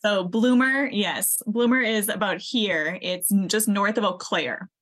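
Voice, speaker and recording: A woman's voice: medium pitch, unhurried, a bit bright. A young woman from northern Wisconsin, a podcast guest talking casually with frequent yeahs and ums. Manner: friendly, laughing, fills with um and yeah. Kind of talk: casual interview.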